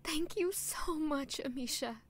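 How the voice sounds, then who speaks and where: A woman playing a sweet, lovestruck, put-upon young maid in an audio drama, gentle and expressive as she acts and narrates. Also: high-pitched, unhurried. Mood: pleading, soft. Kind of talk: audio drama acting with first-person narration.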